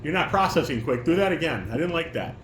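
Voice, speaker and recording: A man's voice: a little high, big pitch swings, fast. A man directing a family table read, reading stage directions and giving informal, corrective instructions. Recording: echoey room with clear background noise.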